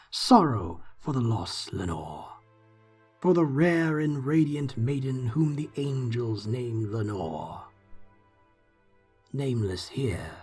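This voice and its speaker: A narrator performs gothic poetry at a slow, deliberate pace with a dark, husky tone. The delivery is theatrical and brooding, shifting between hushed and forceful. Faint music plays behind. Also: medium pitch.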